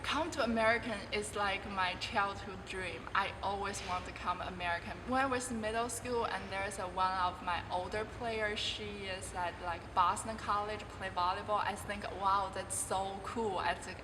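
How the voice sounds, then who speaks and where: A young Chinese woman, a college volleyball player, answering interview questions in careful non-native English with frequent restarts. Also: medium pitch. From China. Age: 20s. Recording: distant voice in a reverberant room with clear background noise.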